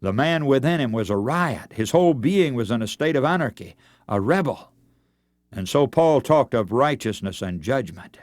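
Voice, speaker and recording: Male radio evangelist, mid-pitched and lively, emphatic sermon delivery with weighty pauses, dry close sound. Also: dry recording. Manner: emphatic, oratorical, with rhetorical repetition and deliberate pauses. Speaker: radio preacher and broadcast host.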